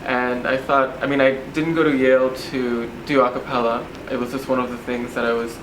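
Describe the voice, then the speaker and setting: A young man from Bangladesh who speaks with an American accent, keeping his delivery flat and level. The room around him is echoey, with a steady air-conditioner noise underneath. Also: medium pitch, brisk. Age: young adult. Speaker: student interviewer. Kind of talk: casual interview. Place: apartment.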